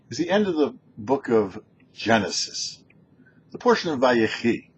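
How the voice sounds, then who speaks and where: A man, a rabbi hosting a show, teaching scripture in a deliberate, emphatic way. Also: a little high. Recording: dull lo-fi sound with background noise.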